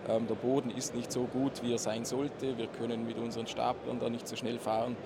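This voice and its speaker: A man with an Austrian accent gives an informal technical presentation as a surveyor, speaking haltingly and holding back his dialect. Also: medium pitch, level tone. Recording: loud background noise, live talk.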